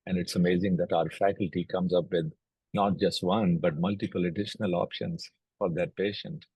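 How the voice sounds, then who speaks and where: Native Urdu speaker, middle-aged man, deep husky voice, level delivery, dry close sound; calm expert explaining step by step. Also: no echo, poor audio. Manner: measured and explanatory, lists cases step by step. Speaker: oncologist and platform co-founder. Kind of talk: recorded video interview.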